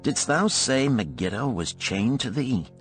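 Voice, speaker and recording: A man's voice: medium pitch, sing-song, measured pace, faint music behind, pitched above the performer's own voice. A man voicing a cynical, spoiled young nobleman who speaks emphatically in formal, archaic language, in an audiobook. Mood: astonished.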